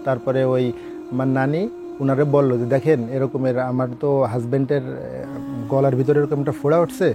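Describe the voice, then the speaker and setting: A man with a Bangladeshi accent telling a ghost story informally, in a halting, colloquial, repetitive way. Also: medium pitch, flat delivery. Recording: voice over prominent background music. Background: music.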